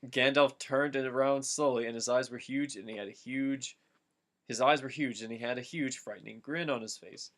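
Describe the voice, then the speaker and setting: A young adult man doing a casual, comedic dramatic reading, halting and stumbling over names as he goes. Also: medium pitch, fairly even intonation, a bit bright. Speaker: amateur story reader.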